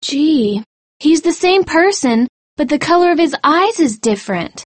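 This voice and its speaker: Audiobook role: eager young female amateur detective, acted by a woman, very high and animated, emphatic delivery, close dry sound. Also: unhurried, dry recording. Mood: puzzled. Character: excited young women friends, eager amateur sleuths. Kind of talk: acted dialogue in audiobook story.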